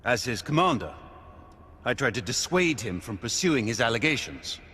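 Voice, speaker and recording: A man playing a loyal knight commander in a video game, speaking formally, with measured diplomacy. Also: medium pitch, very animated, bright, forceful tone, husky, full character voice. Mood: measured, regretful. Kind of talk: period drama game acting. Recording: reverberant hall with background ambience noise.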